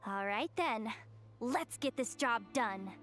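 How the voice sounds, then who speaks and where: High-pitched cocky young treasure hunter. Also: female voice, expressive, takes it slowly. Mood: brisk, focused. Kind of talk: video game voice acting. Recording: game music behind the voice.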